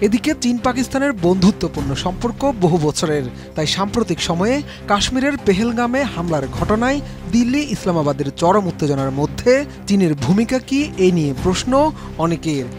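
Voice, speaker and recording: Bangladeshi guy doing a news report voiceover, pretty high voice, music behind him. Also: very animated, a little fast. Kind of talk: scripted news report voice-over. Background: background music.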